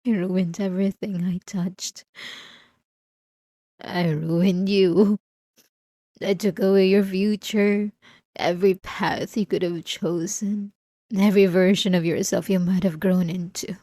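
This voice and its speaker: A woman playing an obsessive, remorseful wife in an intimate ASMR roleplay, confessing in a halting, tearful way close to the microphone. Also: medium pitch, steady tone, warm tone, no room sound. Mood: despairing, hushed. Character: obsessive, possessive wife, remorseful, delusional and tormented. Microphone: close microphone, dry.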